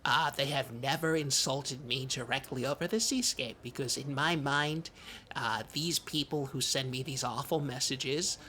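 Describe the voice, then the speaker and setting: A performer playing a needy, wounded, childlike podcast host, talking in a halting, earnest, hesitant way in improvised comedy. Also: mid-range voice, sharp tone. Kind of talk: improvised deadpan comedy talk. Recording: noticeable background noise, lobby ambience.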